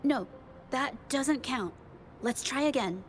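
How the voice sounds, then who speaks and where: A shy, stammering young painter. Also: female voice, sits high, animated, slow pace, a little hiss or hum. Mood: playful. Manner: stammering, hesitant. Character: shy young female painter, nervous but earnest. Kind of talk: game voice acting. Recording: faint music underneath.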